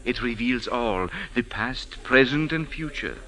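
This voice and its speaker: Theatrical, obsequious mystic fortune-teller. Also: male voice, mid-range voice, expressive, pushed and bright, rough recording. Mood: mystical, coaxing. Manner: obsequious, halting, incantatory and dramatic. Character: humble, insistent male Egyptian sand diviner, theatrically mystical. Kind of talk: 1940s-50s radio drama acting.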